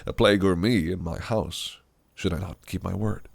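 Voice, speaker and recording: An actor playing a possessive, scheming fae prince who speaks in silky, archaic phrases that can turn menacing. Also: male voice, low voice, steady tone, moderate pace, gravelly, heavily acted. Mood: teasing. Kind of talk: audio roleplay acting.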